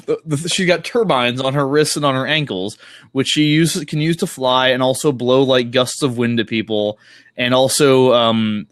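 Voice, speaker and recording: A man's voice: mid-range voice, fairly even intonation, normal speed, no room sound, studio quality. A man chatting casually and enthusiastically, rambling through recaps in an informal, sweary way. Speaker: podcast host or guest discussing comics.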